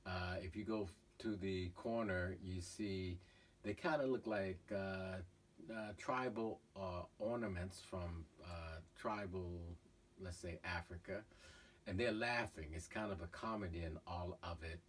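A middle-aged man, a painter, talking casually about his own painting in a halting way with many fillers, filmed in a cluttered studio. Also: low-pitched. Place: cluttered art studio indoors. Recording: voice from far off, faint room noise.

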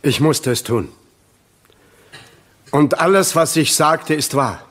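A man playing a troubled, earnest middle-aged witness in a German crime radio drama, with a husky voice. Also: on the high side, expressive, slow pace, fairly bright tone, heavily acted. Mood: desperate, insistent.

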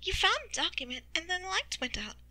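A woman voicing an excitable young male animal character who reasons eagerly and emphatically in a storybook. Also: very high-pitched, quick pace, no room sound, pitched above the performer's own voice, full character voice. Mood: excited deducing.

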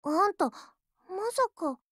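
Haughty little anime ship girl, mobile game. Piping high voice, lively inflection, bratty bragging, dry studio sound. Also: slow pace, no echo, full character voice. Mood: flustered. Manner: boastful, tsundere, ends sentences with a verbal tic. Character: haughty, childish warship girl with animal ears. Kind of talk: Japanese anime game character voice lines.

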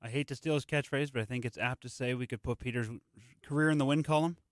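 A man's voice: medium pitch, fairly even intonation, fast talker, no room sound, studio quality. A man hosting a podcast in casual chat, agreeable and conversational. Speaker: podcast host.

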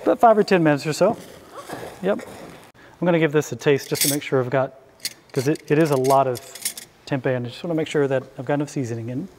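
Easygoing middle-aged man, slightly high voice. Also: quick pace, long pauses, a little mellow, slight background noise. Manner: easygoing, conversational, invites questions. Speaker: chef giving a cooking demonstration. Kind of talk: informal live cooking demo. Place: indoor hall before a small audience.

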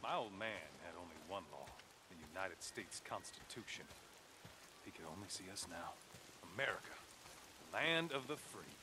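A shrewd, domineering leader of a survivalist camp in a video game. His low voice is folksy and deliberate, in clipped phrases. Rain noise is clear behind him. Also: expressive. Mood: nostalgic, bitter. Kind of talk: video game cutscene acting.

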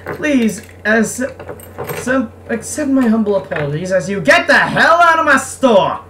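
A woman's voice: fairly high pitch, big pitch swings, measured pace, fairly bright tone. A woman voicing a proud, sarcastic young male craftsman in a visual novel game. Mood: sarcastic, angry. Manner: sarcastic, boastful. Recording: distant mic, faint background noise.

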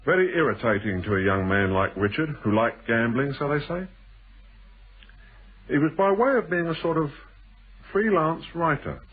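A very husky, rough-edged British man in a radio thriller, sly and insinuating. His speech swings widely in pitch as he teases and probes, on a muffled old radio recording. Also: lively intonation. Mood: sly storytelling. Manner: teasing, probing, deliberate. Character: shrewd, insinuating male stranger, needling storyteller in a thriller. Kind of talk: British radio drama acting. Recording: muffled band-limited old radio broadcast.

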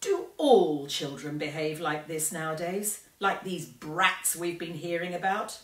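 A woman's voice: deep voice, measured pace. A woman voicing a disapproving old grandmother in a storybook, muttering and snappish. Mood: scornful. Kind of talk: storybook character reading. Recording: roomy, distant microphone.

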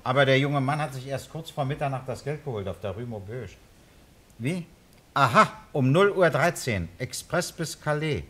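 Casting: methodical police inspector, radio crime play, medium-pitched voice, courteous and matter-of-fact. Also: male voice, expressive, moderate pace, bright, forceful tone, husky. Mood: businesslike. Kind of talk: German radio crime drama acting.

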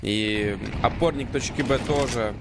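A man giving live commentary and analysis on a video game match in measured, short phrases. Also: mid-range voice. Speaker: esports commentator. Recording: clear game gunfire and noise behind.

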